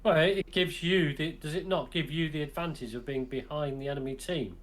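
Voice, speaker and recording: A man with a fairly high voice chats casually about a game. He reasons the rules through out loud. He is exasperated by the design choices. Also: brisk. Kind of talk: casual gaming chat.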